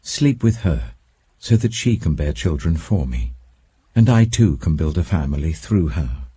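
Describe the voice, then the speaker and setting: Man voicing jealous, husky young wife Rachel. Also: a bass voice, animated, unhurried, warm tone, exaggerated voice. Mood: desperate. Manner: intense, insistent.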